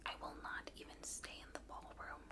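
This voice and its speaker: A woman's voice: moderate pace, natural voice. A meek old beggar woman whispering earnestly at a storybook castle door, as a woman reader puts her on for a bedtime tale. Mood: begging. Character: humble old beggar woman, secretly an enchantress.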